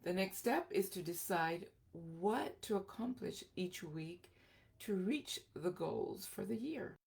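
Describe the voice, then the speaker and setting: Slow-paced, creaky-voiced middle-aged woman teaching. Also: fairly low pitch, animated, darkish tone. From Costa Rica. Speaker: group piano teacher presenting a lesson. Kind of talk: informal instructional talk.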